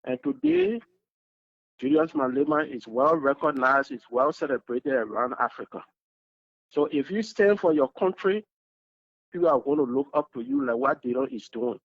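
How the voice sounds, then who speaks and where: A man's voice: medium pitch, big pitch swings, normal speed, vocal fry. A man with a Liberian English accent calling in to a talk show, speaking earnestly and often repeating words as he searches for them. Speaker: caller. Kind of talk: emotional call-in testimony. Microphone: phone call. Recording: dull phone line.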